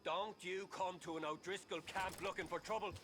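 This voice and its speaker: An actor playing a hostile, threatening Old West outlaw in a video game. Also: male voice, high voice, fairly even intonation, moderate pace, a bit bright. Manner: threatening, barking. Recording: distant game dialogue, noisy background.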